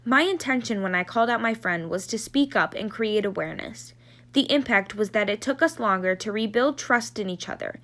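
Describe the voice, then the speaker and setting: A young woman reading a nonfiction book aloud in an earnest, steady voice, then explaining a class activity in a bubbly way. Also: mid-range voice. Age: young adult. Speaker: student reader.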